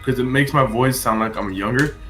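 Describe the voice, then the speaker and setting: A man's voice: medium pitch, a little fast, sharp tone. A man on voice chat with a friend, talking loosely with lots of swearing and filler words through an earphone mic. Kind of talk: casual chat. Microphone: earphone mic over voice chat.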